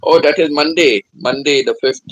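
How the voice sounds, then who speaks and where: A man in his seventies hosting a live stream, chatting gently and repeating himself, humming between topics. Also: fairly high pitch, normal speed, a little hiss or hum, low-quality recording. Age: 70s. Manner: hums to himself between chats. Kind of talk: casual live-stream chat.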